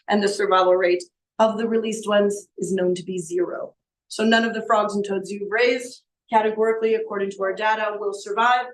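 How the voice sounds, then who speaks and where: A woman in her thirties with a slightly hoarse voice, showing live animals to young children in a classroom. She explains in an animated, friendly teaching way, asking the kids questions as she goes. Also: medium pitch, poor audio. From Canada. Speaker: science educator. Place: elementary school classroom, indoors.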